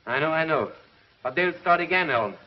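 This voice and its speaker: A man's voice: fairly high pitch, animated, moderate pace, lightly acted. A middle-aged man playing a grim, fatalistic commissioner in a 1950s television serial. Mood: urgent, grim. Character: grim, fatalistic uniformed commissioner fearing disaster. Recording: dull 1950s TV soundtrack, faint noise.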